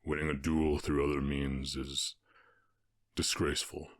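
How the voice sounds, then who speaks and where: A man voicing a calm, commanding orc warchief in an ASMR roleplay, with a husky voice and a measured, condescending, teasing manner. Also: dry recording. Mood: calm, stern. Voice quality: very deep, husky.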